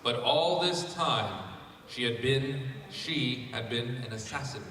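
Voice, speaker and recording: A man's voice: medium pitch, lively intonation, slow. A man co-hosting a live panel, talking casually and reading aloud to the audience. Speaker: panel co-host and narrator. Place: large echoey convention panel room. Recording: very echoey hall, far mic, crowd noise.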